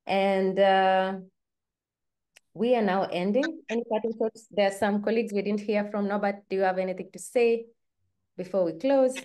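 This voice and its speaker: A woman's voice: medium pitch, steady tone, normal speed, warm tone. Chatty woman hosting a webinar. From Kenya. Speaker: webinar host. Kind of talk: moderating an online webinar.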